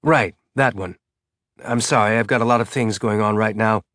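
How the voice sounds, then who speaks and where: A man's voice: fairly low pitch, moderate pace, fairly bright tone, no echo, clean studio sound, lightly acted. A man doing a tired, harried captain. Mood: weary. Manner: weary, distracted.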